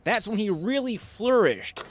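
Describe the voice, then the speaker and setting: A man delivering scripted sports news and highlight narration as a TV reporter and anchor. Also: big pitch swings, unhurried.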